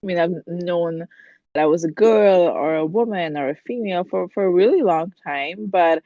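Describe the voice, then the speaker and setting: A young woman from India with a lowish voice, chatting in a relaxed way and filling her talk with "like" and "um". The sound is dull and dry, like a lo-fi remote call. She laughs easily as she goes. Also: normal speed, no echo. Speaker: guest. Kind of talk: casual chat.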